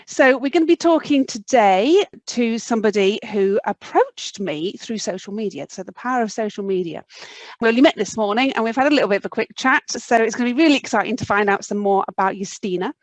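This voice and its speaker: A woman's voice: fairly high pitch, lively intonation, brisk, no room sound. A woman, hosting a conversational podcast interview. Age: 40s.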